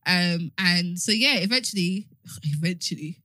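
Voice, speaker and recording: A woman's voice: fairly low pitch, animated, measured pace, bright, forceful tone, no room sound. A British woman in her twenties co-hosting a podcast, talking candidly and emphatically in a slangy, advice-giving way. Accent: British English. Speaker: podcast co-host. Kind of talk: candid casual chat. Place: podcast studio.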